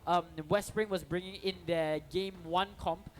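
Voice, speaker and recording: A man casting an esports match, energetic and chatty, restarting sentences and tossing in "you know". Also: high-pitched, a little slow. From Singapore. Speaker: esports caster. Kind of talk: esports play-by-play commentary. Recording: commentary over loud game music.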